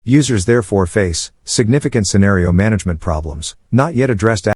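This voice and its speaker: A man reading formal academic passages aloud in a careful, measured manner, as in exam practice. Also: lowish voice, expressive, a little mellow, breathy edge, no room sound, studio quality.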